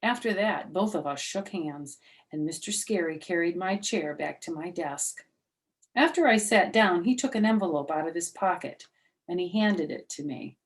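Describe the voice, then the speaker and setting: A woman's voice: fairly low pitch, animated, moderate pace. A woman storyteller reading a children's book aloud, addressing young listeners directly in simple words.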